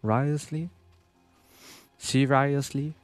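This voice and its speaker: A man's voice: mid-range voice, fairly even intonation, very slow, no echo. A young man giving casual, chatty live gameplay commentary as a streamer, thinking aloud in a laid-back way. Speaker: game streamer, virtual YouTuber. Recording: game music behind the voice.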